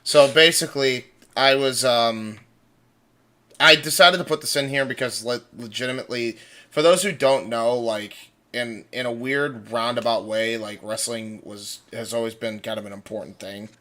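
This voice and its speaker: A man's voice: medium pitch, fairly even intonation, moderate pace, sharp tone. A man chatting casually as co-host and writer on a livestream breakdown.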